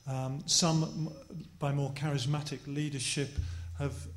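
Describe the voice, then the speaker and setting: A man at a church conference describing local practice hesitantly, with many pauses. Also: fairly high pitch, flat delivery. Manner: hesitant, reflective. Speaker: conference delegate.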